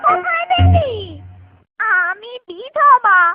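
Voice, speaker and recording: A pious, easily alarmed widowed aunt from a village cartoon. Words are stretched very slowly in a piercingly high wail. The recording is dull, with faint music behind. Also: female voice, big pitch swings, no room sound, exaggerated voice. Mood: guarded, pious. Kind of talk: cartoon voice acting.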